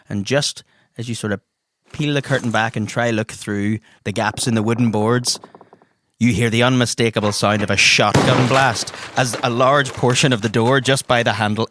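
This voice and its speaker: A man's voice: medium pitch, a bit bright, slight background noise. A man narrates a tabletop adventure dramatically in the second person as game master. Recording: music bed behind the voice. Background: background music.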